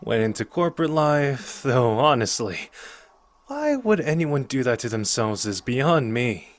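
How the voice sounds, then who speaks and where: Cocky, playful young sorcerer with lively swoops, man acting. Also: medium pitch, measured pace. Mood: earnest, persuading. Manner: casual, teasing, intimate and conversational. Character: cocky, playful young male sorcerer, earnest and protective underneath. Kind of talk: ASMR roleplay acting addressed to the listener.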